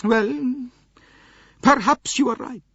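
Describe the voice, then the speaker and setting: A man voicing a proud, bitter elderly princess in an audiobook, speaking with slow, haughty, dramatic emphasis. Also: very high-pitched, bright, forceful tone, husky, dry recording, muffled recording, voice pushed up, full character voice. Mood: haughty, scornful.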